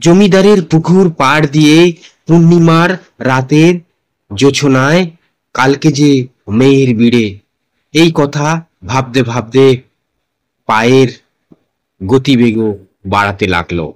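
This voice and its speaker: A man's voice: medium pitch, measured pace, pauses often, no echo. Male narrator for a scripted cartoon ghost story.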